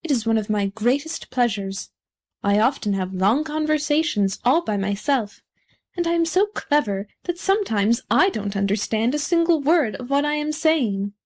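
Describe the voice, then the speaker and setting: A conceited storybook firework played by a woman reader holds forth in a fairly high voice. He is pompous and condescending, forever boastful and very pleased with himself. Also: expressive, normal speed, darkish tone. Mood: smug, boastful. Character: vain, haughty, boastful male firework rocket. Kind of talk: storybook character reading.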